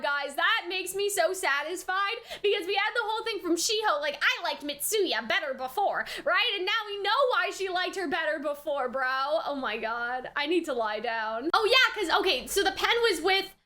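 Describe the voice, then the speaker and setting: Female reaction host, very high and bright voice, unhurried overall, slangy and exclamatory. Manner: animated, exclamatory, slangy, thinks aloud. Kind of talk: casual excited reaction commentary on an anime episode.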